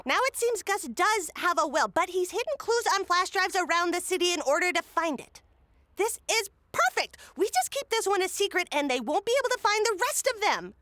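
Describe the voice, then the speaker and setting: A woman's voice: very high voice, big pitch swings, fairly quick, sharp tone, no echo, exaggerated voice. A woman playing a scheming, impatient city mayor in a comedic audio drama, brash and bossy.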